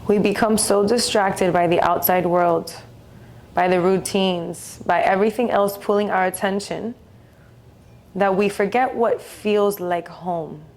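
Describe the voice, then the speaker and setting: Woman doing guided meditation, gentle and soothing, medium pitch, takes her time w long pauses. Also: fairly even intonation.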